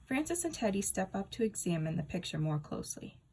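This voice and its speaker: A woman narrating a children's book aloud in an expressive storytelling style. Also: medium pitch, a little fast, creaky, husky. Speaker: storybook reader.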